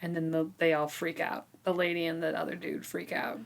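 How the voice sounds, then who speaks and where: A woman's voice: sits low, little pitch movement, fairly quick, vocal fry. A woman co-hosting a podcast, recapping a book in an informal, conversational way. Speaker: podcast co-host. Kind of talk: casual book discussion.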